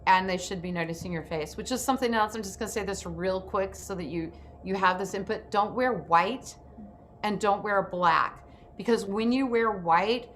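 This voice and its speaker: A woman's voice: medium pitch, a bit bright, a little hiss or hum. A woman hosting a workshop, explaining her advice emphatically as a coach in a live online session. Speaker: panel host and acting coach.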